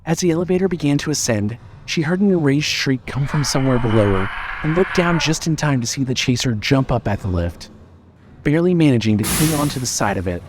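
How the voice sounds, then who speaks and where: A man reading scripted story narration in a measured, expressive, dramatic way. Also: mid-range voice, background noise.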